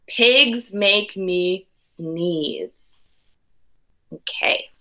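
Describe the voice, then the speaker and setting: A woman's voice: medium pitch, extremely slow, pushed and bright, rough recording. A woman hosting a children's storybook read-aloud in a teacherly way, giving simple instructions and checking in.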